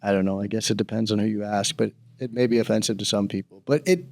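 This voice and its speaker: A middle-aged man from the Bahamas, a real estate broker chatting as a guest in an interview, telling stories in a relaxed, rambling way. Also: fairly low pitch, fast talker, vocal fry. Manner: relaxed, rambling storyteller, laughs easily. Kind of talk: casual interview conversation.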